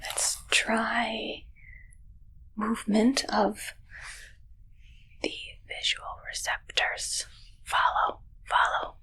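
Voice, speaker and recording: A woman voicing a bumbling shapeshifting alien who poses as a human doctor in an ASMR roleplay, whispering in halting, stilted broken English. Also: fairly high pitch, little pitch movement, low-quality recording. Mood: curious, clinical. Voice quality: whispery, breathy.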